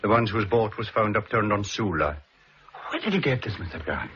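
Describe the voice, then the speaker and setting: A kindly island fisherman, speaking plainly and without hurry in a 1960s radio play. His voice is lowish and bright, rising and falling a lot, on a dull old broadcast recording with faint hiss. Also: lively intonation. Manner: plain, unhurried island speech with "aye". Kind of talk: 1960s radio drama acting.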